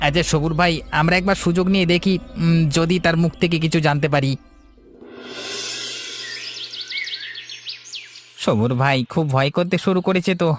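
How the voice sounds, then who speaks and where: A man's voice: a little high, fairly even intonation, quick pace, music in the background, natural voice. A man voicing a timid, deferential village shopkeeper in a cartoon ghost story. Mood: nervous. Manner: nervous, deferential. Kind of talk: animated ghost story dialogue.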